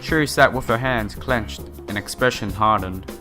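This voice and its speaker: A man's voice: on the low side, moderate pace. A man doing a casual let's play of a visual novel, reading the text aloud with side comments and stumbling over some words. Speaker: gaming YouTuber playing a visual novel. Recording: music playing behind the voice. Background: game music.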